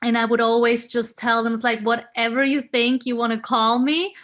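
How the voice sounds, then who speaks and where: A woman's voice: a little high, little pitch movement, normal speed, a bit bright. Woman in her forties, laughing often. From Switzerland. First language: Swiss German and German. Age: 40s. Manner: friendly, laughs often, searches for words. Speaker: guest. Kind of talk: casual interview.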